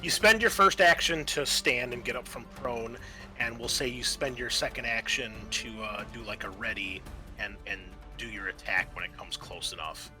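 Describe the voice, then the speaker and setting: A man narrating as game master of a tabletop roleplaying game, explaining scenes and rulings with thoughtful pauses. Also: medium pitch. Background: music.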